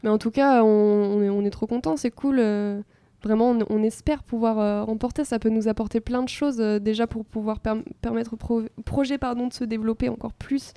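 A woman's voice: fairly high pitch, fairly even intonation, fairly quick, dark tone, clear, resonant tone, no room sound. A young woman in her twenties, a band singer chatting upbeat in an interview, laughing often. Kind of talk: casual interview chat.

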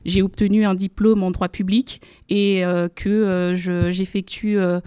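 A woman's voice: medium pitch, little pitch movement, a little slow, warm tone, smooth, no room sound. A young woman from the Democratic Republic of the Congo, a law student guest on a radio interview, speaking formally with many hesitations. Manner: hesitant, many fillers, careful formal phrasing. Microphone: studio microphone.

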